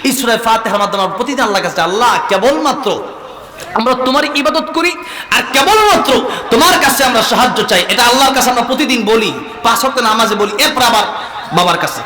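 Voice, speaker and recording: A man with a Bangladeshi accent preaching an Islamic sermon, forcefully exhorting listeners with repeated rhetorical questions. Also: extremely high pitch, expressive, quick pace. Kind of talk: impassioned waz sermon with call-and-response questions and Arabic recitation. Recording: echoing PA with long reverb.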